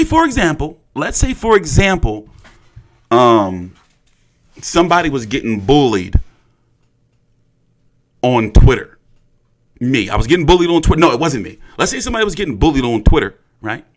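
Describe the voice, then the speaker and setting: A man hosting a boxing livestream in a casual, blunt and profane ranting style, often repeating his phrases. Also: medium pitch.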